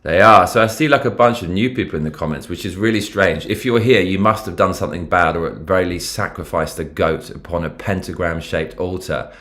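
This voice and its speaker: A man's voice: deep voice, brisk, hardly any pauses, a bit bright. A man in his forties with a London accent, hosting a rambling, profane political livestream and reading out chat comments. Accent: London English. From London, England. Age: 40s.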